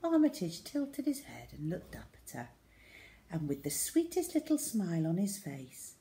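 A woman's voice: on the low side, wildly swooping pitch, normal speed, mellow, slight background noise. A middle-aged woman reading a children's story aloud expressively. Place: small room indoors at home.

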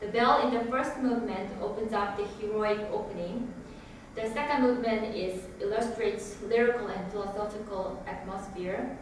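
A woman reads a music lecture in a measured, careful way, pausing now and then over her notes. She is picked up from a distance in a big echoing recital hall, with steady room noise underneath. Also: medium pitch. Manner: measured, reading from notes with occasional hesitations. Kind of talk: read lecture presentation. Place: recital hall with grand piano and projection screen. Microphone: far room camera. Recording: echoey hall from far off, audible room noise.

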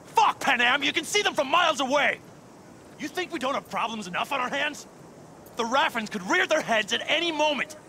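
A man's voice: extremely high pitch, animated, brisk, sharp tone, a little hiss or hum, heavily acted. Stern, volatile nomad clan leader, male. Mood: angry, exasperated. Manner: commanding, confrontational. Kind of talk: cinematic video game voice acting.

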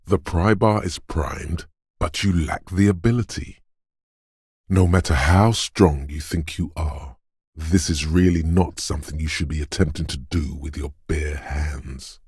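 Very deep, husky, macho game voice. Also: male voice, darkish tone, no echo, studio quality, exaggerated voice. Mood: grave, intense. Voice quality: gravelly, husky bass. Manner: deliberate, commanding, taunting. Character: gruff, macho inner voice of brute muscle, goading like a drill coach. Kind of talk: dramatic video game narration.

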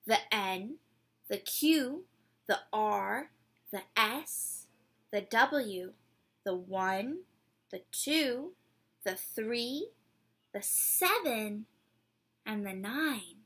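A woman in her twenties doing an expressive, theatrical picture-book read-aloud for children, drawing out words and putting questions to her young listeners. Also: mid-range voice, drawn-out words, long pauses, husky. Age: 20s. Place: small decorated room indoors.

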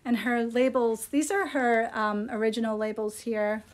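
Higher-pitched woman, chatty presenter. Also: steady tone, moderate pace. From Scotland. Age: middle-aged. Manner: chatty, unscripted, appreciative. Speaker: yarn shop host presenting products. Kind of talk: casual live product showcase. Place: small indoor room. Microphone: phone at arm's length.

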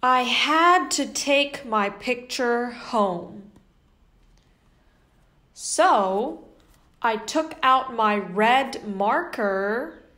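A young woman reading a children's picture book aloud as host, in an animated, carefully enunciated way. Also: fairly high pitch, very slow, pauses often. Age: young adult. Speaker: host and children's story reader. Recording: echoey indoor room.

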